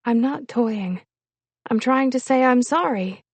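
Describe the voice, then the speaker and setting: A woman's voice: fairly high pitch, a little slow, no echo, voice pushed up. A woman voicing a hesitant, pleading young woman in love, emotional and insistent, in an audiobook story. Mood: apologetic. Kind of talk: audiobook character dialogue.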